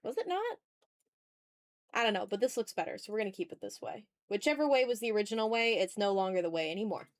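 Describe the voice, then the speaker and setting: A woman with a mid-pitched voice hosts a drawing stream. She chats informally with her viewers, moving between remarks on her work and greetings to people who drop in. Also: expressive, fast. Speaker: host of an art livestream, video creator. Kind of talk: casual livestream chat with viewers while drawing. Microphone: streaming mic, newly placed a little far away.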